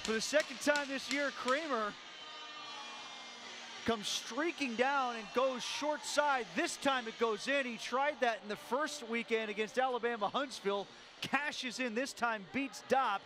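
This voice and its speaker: A man calling a live hockey game play by play, quick calls that jump up on every goal. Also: very high voice. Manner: rapid calls that rise sharply on goals. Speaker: play-by-play announcer.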